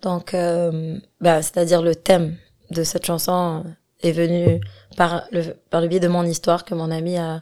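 A woman's voice: on the low side, completely flat delivery, normal speed, a little mellow. A young woman from Algeria, a singer being interviewed, talking casually and laughing often while mixing French with Arabic and English. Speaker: singer guest.

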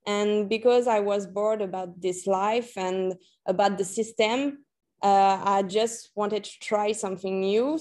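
A woman's voice: mid-range voice, darkish tone. A woman in her late twenties with a French accent, a native French speaker, answering interview questions conversationally and searching for her words. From France and New Caledonia. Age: late 20s. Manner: hesitant, searching for words in a second language. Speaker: podcast guest, freelance journalist and copywriter.

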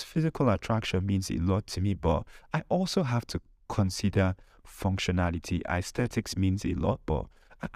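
Low-voiced man, emphatic podcast host. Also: darkish tone, dry recording, studio quality. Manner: emphatic, repeats phrases, rhetorical questions. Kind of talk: informal advice monologue with humour.

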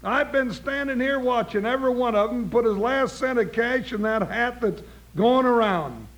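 An actor playing a jittery, blustering comic cowboy sidekick with an American Western drawl in an old-time radio western. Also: male voice, very high voice, moderate pace, a bit bright. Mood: folksy. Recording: noisy old radio recording with clicks.

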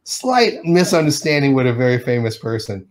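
A man's voice: medium pitch, big pitch swings, moderate pace. A middle-aged man from Detroit, an animation artist, telling stories in a casual interview, acting out quotes and laughing often.

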